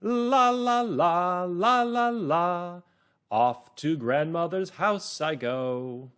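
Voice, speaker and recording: Man putting on a singsong, high-pitched little girl. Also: drawn-out words, fairly bright tone, clear, resonant tone, full character voice. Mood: carefree singing. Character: little girl singing sweetly, innocent and trusting. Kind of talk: children's storybook reader's theater.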